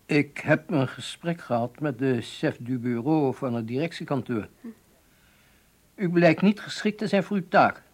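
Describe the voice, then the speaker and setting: An actor playing a formal, patronising personnel manager in a radio drama, measured and official. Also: male voice, mid-range voice, natural voice. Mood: stern, patronising.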